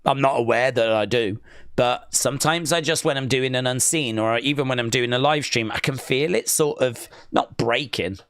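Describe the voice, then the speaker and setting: A man's voice: mid-range voice, moderate pace, fairly bright tone, smooth, no room sound, clean studio sound. A man hosting a live wrestling stream, chatting loosely and reading out chat, his voice weak as if from a cold. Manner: rambling, hesitant, reads chat aloud. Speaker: wrestling watch-along streamer.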